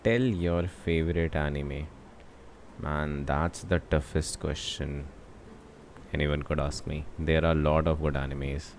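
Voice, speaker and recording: Deep-voiced young Indian man streaming a game. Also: steady tone, measured pace, a little hiss or hum. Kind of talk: casual livestream chat and game commentary. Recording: voice over game music.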